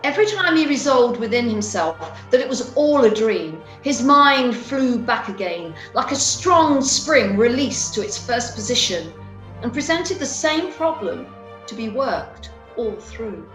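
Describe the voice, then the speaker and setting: Woman narrator reading a story, highish voice, roomy echo, music behind. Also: big pitch swings, a little slow, a bit bright.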